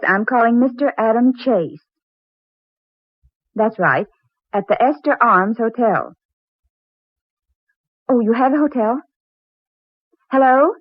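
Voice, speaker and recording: Husky, lovelorn radio-drama young woman. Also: dry recording. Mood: anxious, deflated. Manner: emotional, dramatic, with halting pauses. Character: devoted anxious young woman in love, tender and earnest. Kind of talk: 1940s radio drama acting. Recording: muffled, very low-fidelity old radio, faint music.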